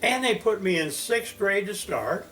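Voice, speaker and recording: A man of one hundred from Indiana, recalling his life as a teacher in a slow, storytelling interview. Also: faint music behind, poor audio. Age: 100s. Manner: slow, storytelling, animated pitch swings. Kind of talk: reminiscing interview.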